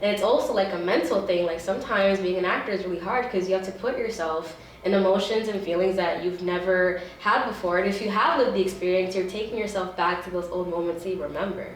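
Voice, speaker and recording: A young woman answers interview questions in an informal, expressive way. The room is very echoey, and she sits a little away from the microphone, with faint noise underneath. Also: medium pitch, fast, few pauses, distant mic. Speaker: interview guest, stage actor. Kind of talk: casual conversational interview.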